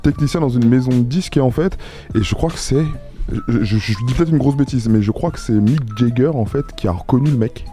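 Man, radio co-host, quick joking banter, dark mellow tone, faint music. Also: mid-range voice. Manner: joking, rapid, repeats words when unsure.

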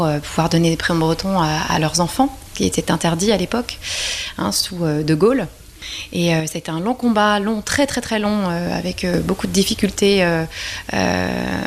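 A woman in her forties from Brittany answers interview questions in a slightly low voice. She speaks casually and hesitantly, with frequent pauses and restarts. Faint background noise sits behind her. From Brittany, France. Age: 40s. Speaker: singer, interview guest.

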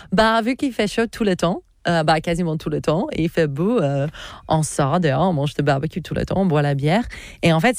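A woman from Australia with an Australian English accent, speaking French as a second language in a casual interview, animated, laughing often and hunting for words. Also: sits low. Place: Orbe area in the mountains.

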